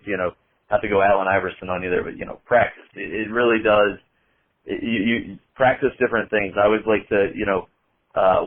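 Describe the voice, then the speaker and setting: Podcast guest: Indiana man, lowish, even delivery, chatty, phone-quality audio. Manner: chatty, many restarts and fillers. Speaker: wrestling commentator guest. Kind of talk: casual podcast chat.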